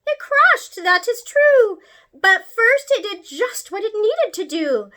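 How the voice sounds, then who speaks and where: Woman putting on an old great-aunt, elderly, jubilant, high shrill voice, loud and bright. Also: very animated, slow pace, heavily acted. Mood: joyful. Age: older. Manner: exuberant, cheering, high and loud. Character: excitable old great-great-aunt, a former plane builder.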